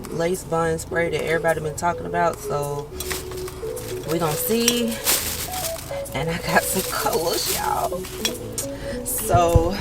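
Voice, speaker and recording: A woman chatting casually to her viewers, her voice nasal and stuffy from a sinus infection. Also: deep voice. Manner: chatty, addresses viewers as y'all. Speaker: beauty vlogger. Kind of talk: casual vlog chat. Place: car, then home.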